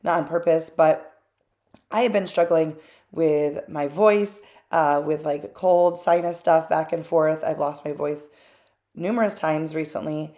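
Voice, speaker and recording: A chatty woman with a slightly low voice narrates her own craft project as a voice-over. She talks candidly, drifting into small asides and filling pauses with ums. The tone is loose and unscripted, like a host talking to regular viewers. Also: mellow.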